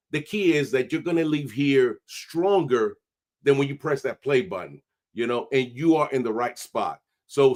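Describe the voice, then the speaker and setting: A man, hosting a podcast with energetic, streetwise talk sprinkled with Spanish. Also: a little high. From New York. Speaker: podcast host. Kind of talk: casual interview chat.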